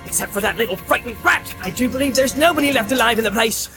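A man doing a high, crackly-voiced loyal hobbit. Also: quick pace, music in the background. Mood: relieved. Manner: crying out, breathless and urgent. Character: stout-hearted young male hobbit, loyal and desperate.

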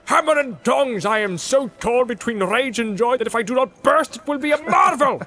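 A man's voice: extremely high pitch, lively intonation, moderate pace, pushed and bright, pitched above the performer's own voice, heavily acted. A man voicing a hot-tempered, indignant dwarf warrior, blustering and exclaiming in a storybook reading. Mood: outraged, overjoyed.

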